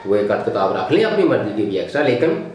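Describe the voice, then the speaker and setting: A Pakistani man giving unscripted political commentary as a vlogger, speaking in halting, broken phrases as he thinks aloud. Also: fairly low pitch, sing-song, a little mellow. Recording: very echoey, reverberant room.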